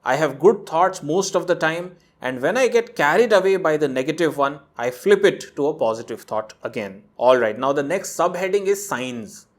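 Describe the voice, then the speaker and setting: A man's voice: fairly high pitch, expressive, moderate pace, few pauses. A man hosting a channel, reading out a viewer's emailed story and breaking in with his own asides. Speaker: host reading a viewer's letter. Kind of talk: narrated reading with commentary.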